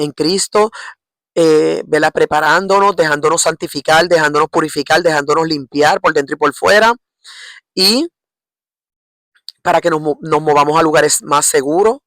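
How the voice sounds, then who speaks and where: A middle-aged woman with a Puerto Rican accent preaching informally in a halting, repetitive way, often checking for agreement with short tag questions. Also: low voice, a bit bright, no room sound. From Puerto Rico. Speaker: lay preacher. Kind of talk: informal religious exhortation.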